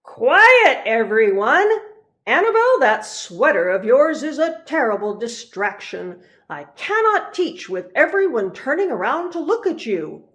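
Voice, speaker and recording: A woman's voice: sits high, very animated, unhurried, fairly bright tone, voice pushed up, heavily acted. A woman voicing a stern male schoolteacher from a children's storybook, scolding his class with indignant emphasis. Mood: exasperated, scolding. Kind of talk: children's storybook read-aloud.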